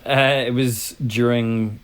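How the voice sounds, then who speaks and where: A man's voice: mid-range voice, steady tone, slow pace, clean tone. A man from Northern Ireland co-hosting a podcast, easygoing and blunt in casual chat as he puts questions to the others. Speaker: podcast co-host.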